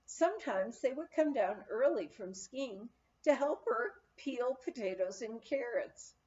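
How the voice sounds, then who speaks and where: A woman's voice: fairly high pitch, animated, unhurried, darkish tone, highs cut off. A woman reading a memoir aloud as scripted, storytelling narration. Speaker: audiobook reader.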